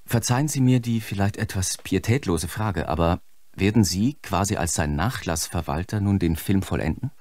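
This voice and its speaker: A man plays a sober documentary presenter in a radio drama. He has a low, closely recorded voice and speaks formally, with measured, probing courtesy. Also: darkish tone, dry recording, lightly acted. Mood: politely probing. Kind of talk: scripted broadcast interview in radio play.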